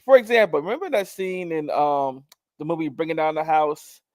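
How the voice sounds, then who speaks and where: A man co-hosting a casual chat show, talking in an animated, emphatic way and laughing easily. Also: a little high, normal speed, no echo, clean studio sound. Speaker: co-host.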